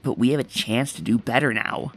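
A woman voicing a gruff, sincere female cartoon creature with a gravelly voice in a comic dub. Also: a bass voice, fairly quick, faint music behind. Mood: apologetic. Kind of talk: comic dub character acting.

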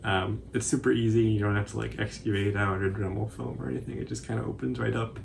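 A man in his twenties giving a casual, informal tutorial commentary in a halting, hesitant way. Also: on the low side, steady tone, creaky, noisy background. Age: 20s. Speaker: cosplay tutorial presenter.

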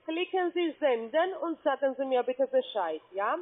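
A woman's voice: sits high, animated, normal speed. A woman phone scammer speaking broken German, blunt and rude. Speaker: scam caller. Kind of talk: phone call. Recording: tinny phone line.

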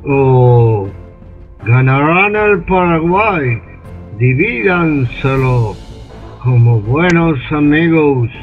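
A scheming, manipulative ambassador, voiced by an older man, who talks in a high, drawn-out, persuasive way. It sounds like a radio play heard down a tinny phone line with faint music underneath. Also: lively intonation. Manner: slow, insinuating, persuasive. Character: scheming male British ambassador, smooth and manipulative. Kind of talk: amateur radio drama acting over video call. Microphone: computer webcam mic on a video call.

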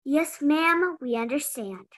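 Girlish, high, unhurried accomplice played by a young woman, sly yet deferential, radio mystery. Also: lively intonation, fairly bright tone, exaggerated voice. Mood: conspiring.

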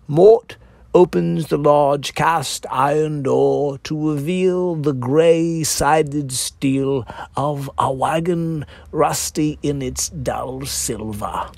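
A man reading a darkly comic, macabre story in a theatrical, deliberate manner, as the author narrating his own work. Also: fairly high pitch, creaky, a little mellow, no echo. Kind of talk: scripted dark-comedy story narration.

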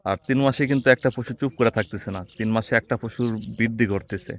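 A man's voice: mid-range voice, quick pace. A man, vlogging outdoors in a rural field, chatting and asking short questions. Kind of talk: casual vlog narration and interview.

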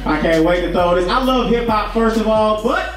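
Young male comedian, punchy shouted delivery, roomy distant club recording. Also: high voice, normal speed, loud music behind the voice. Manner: loud, animated, punchy delivery with shouted bits. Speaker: stand-up comedian. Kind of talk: stand-up comedy set. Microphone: handheld mic. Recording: echoey club heard from far off. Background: crowd laughing.

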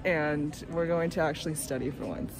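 A woman's voice: sits low, normal speed, noisy background. A young woman, an MBA student, chatting and giggling while vlogging outdoors on a phone held at arm's length. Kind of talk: casual vlog chat. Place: outdoors on a country path. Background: loud background music.

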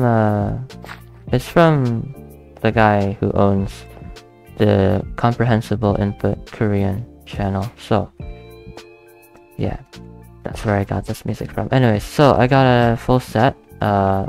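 A young man plays a game at his desk and talks through it slowly, pausing often and counting out loud. His voice is mid-pitched with a gravelly, creaky texture. Soft background music plays faintly underneath. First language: not English. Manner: hesitant, thinking aloud, counting under his breath, nervous laughs, easily spooked. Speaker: gaming channel host. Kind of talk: casual let's play commentary.

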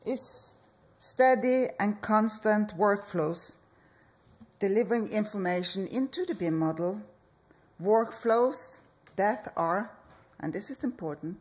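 A woman architect, giving a conference presentation from a stage podium in slow, measured phrases with deliberate pauses. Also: mid-range voice. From Norway. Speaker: architect and project leader.

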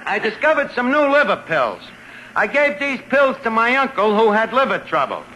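An actor playing a wisecracking, scheming tavern manager with a slangy New York accent and a sardonic manner, in 1940s radio comedy style. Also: male voice, extremely high pitch, sing-song, normal speed, lo-fi sound. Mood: showy patter. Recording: noisy vintage radio broadcast recording.

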